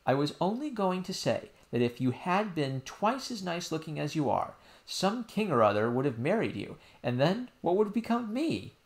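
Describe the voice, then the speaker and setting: A man's voice: fairly high pitch, sing-song, normal speed, creaky, few pauses, pitched above the performer's own voice. A man voicing an upset young girl princess, earnest and proud, in a storybook reading. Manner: earnest, pleading. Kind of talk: audiobook character voice.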